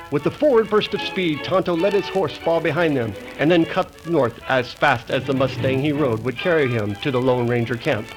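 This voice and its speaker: A man's voice: fairly high pitch, close to an everyday voice, low-quality recording. A man delivering dramatic scripted narration as the announcer of an old-time radio western.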